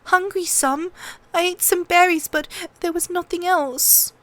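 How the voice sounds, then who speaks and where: A woman's voice: very high voice, fairly even intonation, a little slow, pitched above the performer's own voice. A woman voicing a small, fierce young girl in an audiobook, tearful and protesting. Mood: small, weary. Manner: sobbing, loud protesting.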